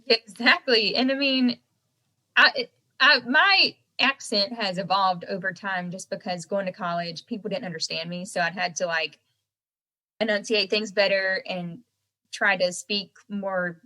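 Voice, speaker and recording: Bright-voiced young Southern American woman. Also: mid-range voice, measured pace, poor audio. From Southern United States. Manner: animated storyteller who laughs often. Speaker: guest singer-songwriter. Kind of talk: casual interview chat.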